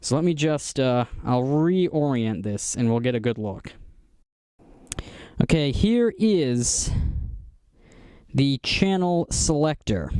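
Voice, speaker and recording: Clear-voiced American man, casual and dry-sounding. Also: on the high side, mellow, no echo. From United States. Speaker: vlogger showing vintage radio gear. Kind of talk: casual unscripted show-and-tell narration.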